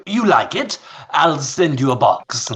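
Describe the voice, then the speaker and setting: Gravelly, scheming old tycoon. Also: male voice, a little high, very animated, fairly bright tone, heavily acted. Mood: smug, persuasive. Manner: theatrical, deliberate, condescending. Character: wealthy scheming old male tycoon, hated, condescending. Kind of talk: radio play acting.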